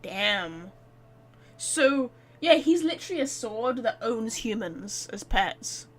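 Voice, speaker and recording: A woman game streamer giving casual, irreverent live commentary in an expressive, sweary way. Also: fairly high pitch, slow pace, soft background music.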